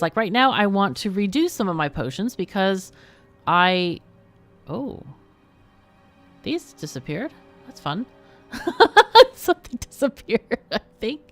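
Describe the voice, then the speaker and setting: Woman streamer chatting casually while gaming, playful, voice goes up and down a lot. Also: medium pitch. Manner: chatty, playful, laughs often. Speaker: livestream host and gamer. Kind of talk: casual livestream chat with game commentary and questions to guests.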